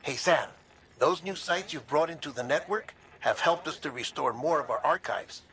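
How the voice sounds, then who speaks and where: An actor playing an earnest, helpful researcher in a video game, polite and measured as he reports. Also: on the high side, a bit bright, natural voice, low-quality recording. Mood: apologetic, earnest. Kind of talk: video game radio-call dialogue. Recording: noticeable ambient noise behind.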